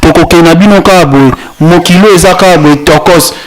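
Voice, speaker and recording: A man's voice: fairly high pitch, moderate pace, poor audio. A man, hosting a casual street interview and repeating promotional plugs for his web channel. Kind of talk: street interview, mixing French and Lingala.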